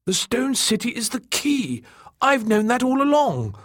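A man voicing a small, fat, fussy male mapmaker in an audiobook, excitable, squawking and stubbornly optimistic. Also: very high voice, a little slow, slight background noise, voice pushed up, exaggerated voice. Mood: stubborn, insistent. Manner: squawking, flustered, eager. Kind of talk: audiobook character dialogue.

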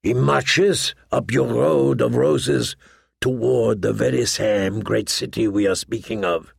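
A man's voice: medium pitch, steady tone, slow pace, vocal fry, breathy edge, no room sound, studio quality, exaggerated voice. A man voicing a boastful, lewd, wheedling pirate captain in an audiobook, with a theatrical, jovial manner and questioning tags. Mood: sly, joking. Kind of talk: audiobook character voice.

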